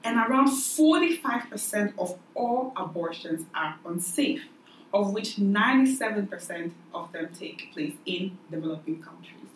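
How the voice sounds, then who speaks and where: A woman's voice: mid-range voice, animated, measured pace. Cameroonian woman host in an echoey room. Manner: thoughtful, poses questions, many restarts. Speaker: podcast host. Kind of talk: casual discussion. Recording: echoey room, mic at a distance, faint noise.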